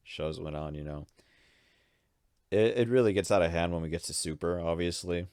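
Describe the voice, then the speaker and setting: A man's voice: deep voice, steady tone, normal speed, a little mellow, clear, resonant tone, no echo, clean studio sound. A man co-hosting a casual podcast with playful, teasing banter. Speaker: podcast co-host. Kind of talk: casual group chat about pop culture.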